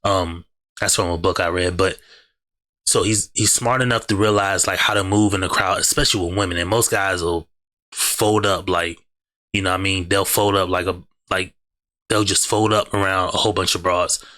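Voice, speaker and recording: A man gives blunt, slang-heavy commentary on clips he is reacting to, calling everyone "bruh." His voice is a little low but bright and forward. It sits dry and close on the mic. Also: fairly even intonation, moderate pace, dry recording. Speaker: reaction host. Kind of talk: casual commentary over clips.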